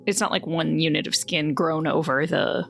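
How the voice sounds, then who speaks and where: A woman playing a tabletop roleplaying game, chatting in a halting, informal way. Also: sits low, vocal fry, a bit bright, a little hiss or hum. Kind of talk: casual game chat. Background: background music.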